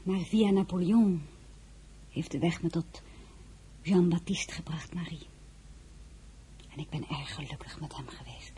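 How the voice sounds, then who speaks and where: An actress playing a dignified, quietly defiant French princess and mother in a historical radio drama, measured and often dropping to near whisper. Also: on the low side, slight background noise, lightly acted. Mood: weary, reflective. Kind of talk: Dutch-language historical radio drama acting.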